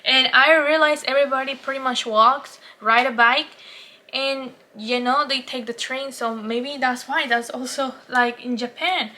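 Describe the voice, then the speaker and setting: A woman's voice: high voice, fairly even intonation, unhurried, pushed and bright. A woman from Colombia with a Colombian Spanish accent, Spanish as her first language, chatting casually in a rambling vlog with frequent laughs and restarts. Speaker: vlogger.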